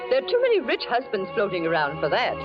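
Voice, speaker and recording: A bossy matchmaking sister in an old radio play, brisk and coaxing, her voice swinging lively up and down. the recording is dull and dated, with loud music washing underneath. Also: mid-range voice. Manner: brisk, coaxing, socially bright. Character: pushy matchmaking older sister. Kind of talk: 1940s radio acting.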